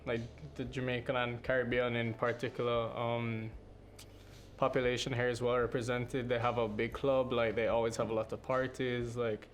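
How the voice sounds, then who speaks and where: A male student chatting casually at a roundtable, relaxed and full of "like". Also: mid-range voice, moderate pace, a little hiss or hum. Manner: relaxed, lots of "like".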